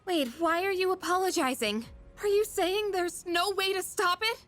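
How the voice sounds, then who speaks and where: A woman's voice: extremely high pitch, normal speed, a bit bright, faint music behind. An actress playing an earnest, distressed but resolute teenage girl in a video game, with urgent delivery. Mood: alarmed, disbelieving. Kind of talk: English video game voice acting.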